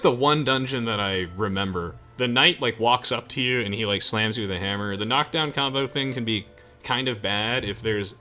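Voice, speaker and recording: A man's voice: fairly low pitch, bright, forceful tone. A young man hosting a let's play, chatting casually with friends as he comments on the game. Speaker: host of a let's play video. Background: game music.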